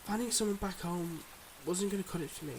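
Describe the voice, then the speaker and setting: A man playing a devoted but guarded mob boss boyfriend in an intimate ASMR roleplay, speaking in earnest, measured confessions. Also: high-pitched, slight background noise, voice pushed up. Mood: guarded, explaining.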